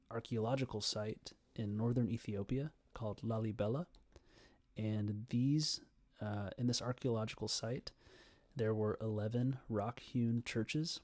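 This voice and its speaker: Young male, slightly deep voice, student presenter, sincere and hesitant, recorded dry without room echo. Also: a little mellow. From Canada, Ontario. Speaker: student giving a persuasive speech. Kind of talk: informal prepared speech.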